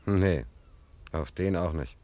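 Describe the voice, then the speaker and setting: An actor playing a stubborn, hard-up sailor and stoker in a German radio play, speaking in a laconic, colloquial way. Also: male voice, low-pitched, slow, mellow. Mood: offhand, stubborn.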